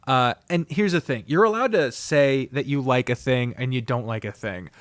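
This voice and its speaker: A man giving an unscripted, casual review, blunt, profane and opinionated, often halting, digressing and trailing off mid-sentence. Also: mid-range voice, fairly bright tone, no echo. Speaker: visual novel reviewer.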